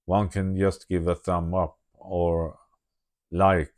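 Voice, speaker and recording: A man with a deep, low voice and a Swedish accent reads his own written text aloud in English. He goes slowly and carefully and corrects small slips as he goes, in a diary-like personal vlog recorded on a phone with an add-on microphone. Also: little pitch movement, darkish tone. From Sweden. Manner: slow, careful reading with self-corrections. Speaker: vlogger. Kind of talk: reading a prepared diary monologue. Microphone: phone with external microphone.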